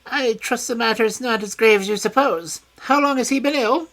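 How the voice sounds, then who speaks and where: A reader voicing a small, frail, petulant older man with a high, shrill, screaming voice and imperious, peevish outbursts. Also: normal speed, a bit bright, lightly acted, poor audio. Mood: probing.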